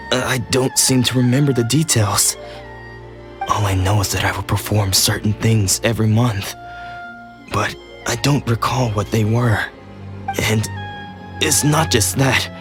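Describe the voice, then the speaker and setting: An actor playing a weary, beleaguered samurai who protests defensively in a video game. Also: male voice, lowish voice, natural voice. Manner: exasperated, protesting. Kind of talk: video game cutscene dialogue.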